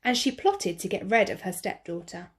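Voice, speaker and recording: A woman teacher reading a picture book aloud to children, slow, clear and expressive. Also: medium pitch.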